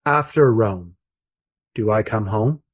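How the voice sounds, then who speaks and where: A man playing an elderly, dignified friar in a radio play, measured, courteous and formal in his speech. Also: on the low side, dry recording, studio quality. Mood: grave. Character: elderly dignified Trappist friar, once an adventurer.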